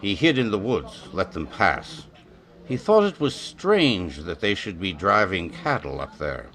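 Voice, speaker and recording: A man's voice: sits low, very animated, unhurried, fairly bright tone, exaggerated voice. A man acting a cold, hard major in a western radio drama, clipped and authoritative. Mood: cold, commanding. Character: cold, hard, commanding major leading a posse.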